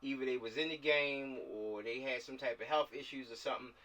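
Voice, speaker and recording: A man's voice: mid-range voice, fairly even intonation, fairly quick, fairly bright tone. A man chatting casually and directing actors over a video call, slangy and often repeating himself for emphasis. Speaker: filmmaker leading a script table read. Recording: distant mic on video call.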